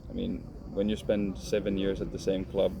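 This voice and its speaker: A man in his thirties from Finland, answering interview questions about his career in a calm, frank way with many restarts. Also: low-pitched, moderate pace, creaky. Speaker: professional footballer. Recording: noisy background.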